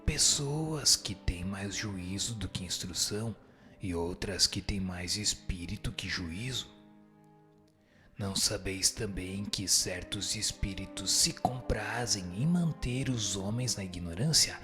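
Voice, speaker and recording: A man's voice: low voice, slow, pushed and bright, soft background music, full character voice. A man playing a solemn spirit teacher, speaking in formal, grave, didactic oratory in a scripted audio drama. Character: solemn male spirit teacher answering questions.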